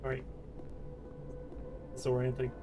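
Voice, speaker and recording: A man's voice: mid-range voice, monotone, measured pace, loud music behind the voice. A man making casual comments while playing a video game. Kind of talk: casual let's-play chat.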